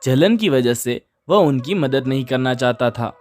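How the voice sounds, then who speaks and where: A man's voice: mid-range voice, lively intonation, measured pace. A man narrating a scripted children's moral story. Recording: music under the voice. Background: background music.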